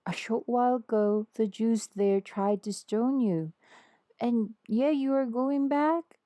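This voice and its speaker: A woman's voice: on the high side, takes it slowly, mellow, no echo, pitched above the performer's own voice. A woman reader voicing worried male disciples in a scripture story, respectful and questioning. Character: worried male disciples addressing their teacher.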